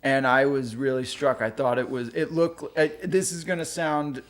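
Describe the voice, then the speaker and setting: A young adult man co-hosting a podcast, joking and talking fast in casual chat. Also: medium pitch, steady tone, slight background noise. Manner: joking, quick and talkative. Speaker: podcast co-host.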